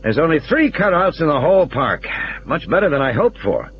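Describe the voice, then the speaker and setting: A man's voice: a little high, sing-song, a little fast, dull audio, slight background noise, pitched above the performer's own voice. A man voicing a matter-of-fact male control room engineer who explains technical things with assurance, in audio play dialogue. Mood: explaining.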